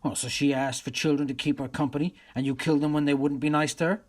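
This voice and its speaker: A man playing a steady police detective in a radio play, firm and questioning. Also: a little high, quick pace, close to an everyday voice. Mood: probing.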